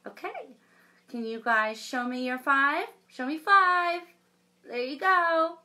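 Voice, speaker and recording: A woman in her thirties, a children's librarian, reading a picture book and leading a sing-along for young children in a lively storytime manner. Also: high voice, slow. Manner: bright, animated storytime voice. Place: home room with a bookshelf backdrop.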